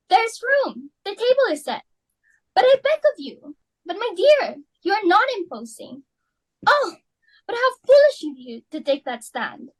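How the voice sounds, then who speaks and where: A woman's voice: very high-pitched, no echo, full character voice, rough recording. A woman doing a fretful society hostess. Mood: pleading. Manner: theatrical, exclaiming, fretful, melodramatic swings. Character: fussy society hostess, Mrs. Pringle, middle-aged woman. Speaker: student reader. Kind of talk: student dramatic reading.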